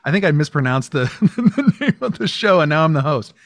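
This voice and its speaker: A man's voice: on the high side, very animated, a little fast, dry recording. A man guesting on a podcast, chatting casually and rambling with many restarts as he plugs his own show. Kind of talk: casual interview chat.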